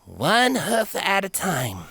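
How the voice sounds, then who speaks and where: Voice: medium pitch, wildly swooping pitch, slow pace, gravelly, fairly bright tone, husky, dry recording, pitched above the performer's own voice, heavily acted. A storyteller voicing a brash young female pegasus filly in a fantasy audiobook. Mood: resolute.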